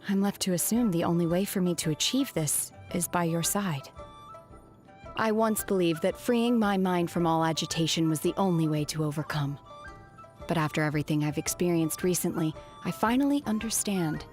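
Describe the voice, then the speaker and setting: An actress voices an aloof young female exorcist from a fantasy game, raised among immortals. Her voice sits fairly low for a woman, with stoic, measured, formal phrasing and a quiet earnestness. Music plays behind her. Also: close to an everyday voice. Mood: calm, reserved. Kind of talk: video game character voice lines.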